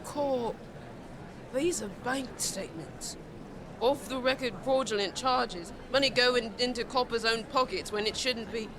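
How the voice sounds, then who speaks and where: A woman's voice: on the high side, measured pace, close to an everyday voice. A woman playing a fiery, loyal street girl in an audio drama, defensive and intense. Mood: astonished. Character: fiery, loyal street girl determined to free her mother. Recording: noisy outdoor street ambience.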